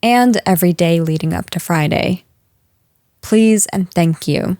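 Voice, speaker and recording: A woman playing a stern, frustrated office boss in a scripted audio roleplay, speaking to an employee in a firm, measured, exasperated way. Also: lowish voice, a little mellow, no room sound, clean studio sound, close to an everyday voice. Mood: curt, sarcastic. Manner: firm, exasperated, measured managerial delivery with sighs.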